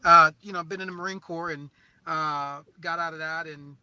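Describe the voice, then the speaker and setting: Bright, forceful man, earnest interview guest. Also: fairly high pitch, fairly even intonation, normal speed, smooth, lo-fi sound. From born in St. Croix, raised in New Orleans. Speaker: guest, recording artist and label co-founder. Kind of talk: conversational interview, storytelling.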